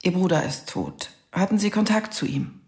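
An actress playing a reserved police investigator in a radio crime drama, putting terse, probing questions. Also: female voice, lowish voice, sing-song, normal speed, darkish tone, husky, dry recording, exaggerated voice.

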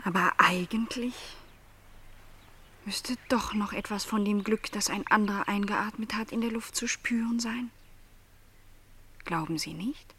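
An actress playing a lonely, discontented young housemaid in a literary radio play, plaintive and questioning in formal wording. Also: female voice, mid-range voice, unhurried, pauses often, pushed and bright, a little hiss or hum. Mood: wistful, questioning.

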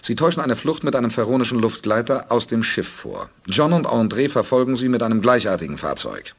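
Calm bossy space commander, bit husky, lively intonation, 80s radio drama. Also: male voice, mid-range voice, fairly quick. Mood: calm authority. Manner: commanding, precise briefing. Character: resolute, calm male spaceship commander, idealistic planner. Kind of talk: German 1980s radio play acting.

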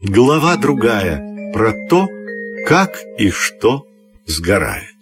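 A man narrating a scripted fairy tale for children's radio, telling it expressively and deliberately. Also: on the low side, very animated, loud music behind the voice. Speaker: storyteller.

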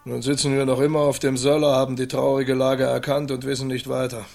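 An actor playing a pompous medieval castle lord who muses and gives orders in a radio play. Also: male voice, medium pitch, level tone, a little fast, gravelly, a little mellow, soft background music, natural voice. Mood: musing. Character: indecisive castle lord turning bossy, easily discouraged. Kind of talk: German radio drama acting.

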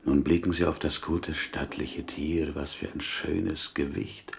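A pious slaughterhouse helper in a radio play. The speech is slow, formal and full of respect, with old-fashioned phrasing. The voice sits extremely low with a gravelly creak. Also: male voice, little pitch movement, exaggerated voice. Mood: reverent, admiring. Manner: reverent, ceremonious, inverted old-fashioned syntax. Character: devout, courteous slaughterhouse helper, dreamily solemn.

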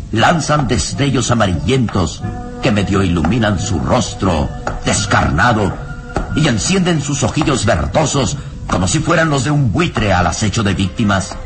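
Deep-voiced man, ominous radio narrator. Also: sing-song, over music. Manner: solemn, ominous, deliberate phrasing. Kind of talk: dramatic scripted radio serial narration.

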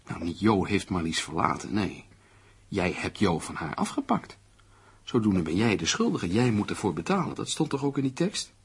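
Low, swooping, needling man. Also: sing-song, normal speed, slightly rough, exaggerated voice. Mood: accusing, probing. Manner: argumentative, theatrical, persuasive. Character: glib male friend, needling, reasoning, dismissive of danger. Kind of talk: Dutch radio play acting.

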